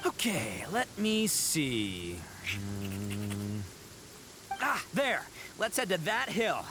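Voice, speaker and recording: An actor voicing a boisterous horned oni man, a cocky braggart with loud laughs. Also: mid-range voice, wildly swooping pitch, very slow, background noise, heavily acted. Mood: bragging. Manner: boastful, loud laughs, brash. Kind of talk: game voice acting. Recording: game dialogue over music.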